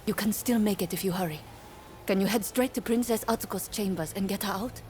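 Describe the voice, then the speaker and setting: An actress playing an assured, brisk woman ally in a video game cutscene, direct and businesslike as she gives instructions. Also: medium pitch, darkish tone, a little hiss or hum, lightly acted. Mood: assured, urgent.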